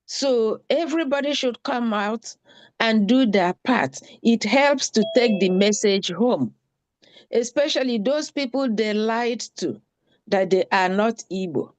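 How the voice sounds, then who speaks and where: A woman's voice: on the high side, lively intonation, measured pace. A woman makes an earnest, pleading appeal at an online town hall. She repeats her points for emphasis.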